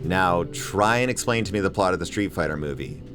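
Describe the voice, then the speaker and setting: A man's voice: deep voice, fairly quick. A man delivering an opinionated, emphatic scripted video essay as host, with casual swearing. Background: music bed under voice-over.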